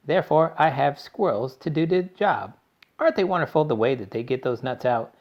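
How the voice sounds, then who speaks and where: A man voicing an eccentric male candy-factory owner, brisk, bossy and theatrical. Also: fairly high pitch, expressive, lo-fi sound, pitched above the performer's own voice, close to an everyday voice. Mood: explaining. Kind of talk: audiobook character voice.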